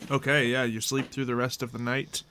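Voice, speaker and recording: A man's voice: medium pitch, flat delivery, brisk, noisy background. A man chatting casually and jokingly as game master to his tabletop players.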